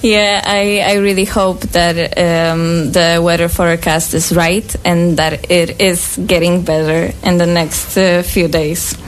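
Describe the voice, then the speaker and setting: A woman hosting a relaxed group conversation, asking gentle, hesitant questions. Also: on the low side, unhurried, hardly any pauses, slight background noise, poor audio. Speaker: interviewer. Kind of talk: hosting a student group chat.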